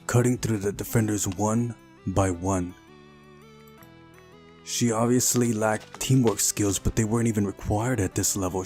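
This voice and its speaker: A man's voice: on the low side, darkish tone. A man reading a visual novel aloud during a playthrough, narrating the story and adding casual asides of his own.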